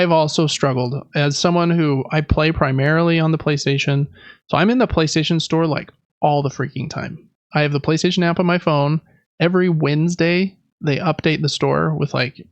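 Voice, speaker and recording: A man's voice: fairly high pitch, dry recording, muffled recording. A man co-hosting a podcast, chatting casually about video games. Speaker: podcast co-host.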